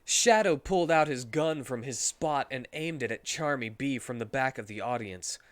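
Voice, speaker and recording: A man's voice: medium pitch, expressive, moderate pace, dry recording. A man reading a story aloud as a comedic dramatic performance, sometimes stumbling over the words.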